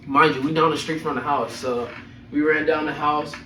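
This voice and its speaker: A man's voice: fairly high pitch, steady tone, moderate pace, bright, forceful tone, a little hiss or hum. Young man, echoey room, distant mic, slangy casual storytelling, profane, chuckly. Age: young adult. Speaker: storyteller host of a personal podcast.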